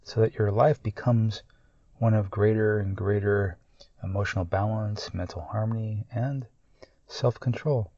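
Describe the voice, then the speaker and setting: A man leading a guided meditation in a calm, soothing, measured way, pausing often between short phrases. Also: fairly low pitch, no echo, muffled recording.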